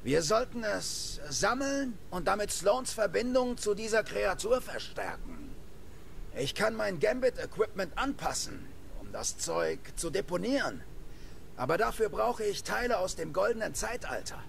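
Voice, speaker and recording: An actor playing a laid-back, roguish space scavenger in a video game, with a gravelly voice and a drawling manner. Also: male voice, sits high, very animated, measured pace, slight background noise, full character voice. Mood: wry, casual. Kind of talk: video game cutscene acting.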